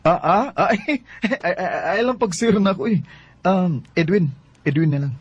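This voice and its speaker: A man's voice: high-pitched, lively intonation, normal speed, breathy edge, no echo, muffled recording. A man acting a smitten working man with a wandering heart in a Bisaya radio drama, with a Cebuano Filipino accent. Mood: flirtatious, bashful.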